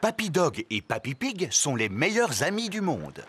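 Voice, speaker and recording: A man narrating a cartoon in a calm storybook manner. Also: on the high side, normal speed, noisy background. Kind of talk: scripted narration.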